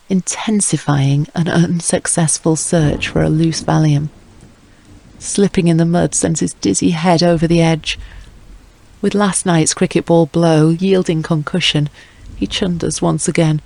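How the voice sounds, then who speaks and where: Creaky-voiced male audiobook narrator. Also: on the high side, darkish tone, rough recording. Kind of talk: scripted dramatic narration.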